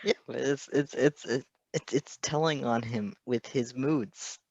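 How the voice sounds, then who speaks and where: A woman's voice: very deep voice, a little slow, darkish tone, dry recording, lo-fi sound. A woman giving casual, chatty reactions as co-reader to a story.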